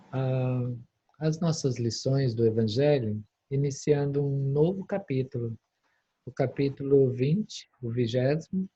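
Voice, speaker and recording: A man with a Brazilian accent hosting informally, halting and hospitable as he introduces and welcomes a speaker. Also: medium pitch, warm tone, slightly rough. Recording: dull, lo-fi internet call audio.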